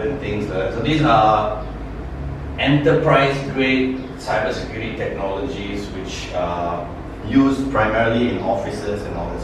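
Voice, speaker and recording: A man in his forties from Malaysia, giving a cybersecurity training session in a conversational way, often correcting himself and asking rhetorical questions. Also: lowish voice. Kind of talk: workshop presentation. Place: training room. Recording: echoey room, far from the mic, noticeable noise.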